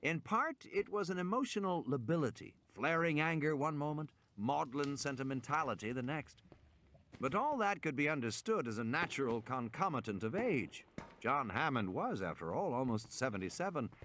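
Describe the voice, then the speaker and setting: Expressive male audiobook narrator, widely varied intonation. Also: on the high side, moderate pace, slight background noise. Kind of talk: scripted dramatic audiobook narration.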